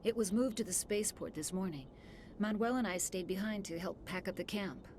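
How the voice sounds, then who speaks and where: A woman's voice: medium pitch, fairly quick, a little mellow, a little hiss or hum, natural voice. A woman voicing a shaken middle-aged female lead scientist who explains things earnestly and apologetically.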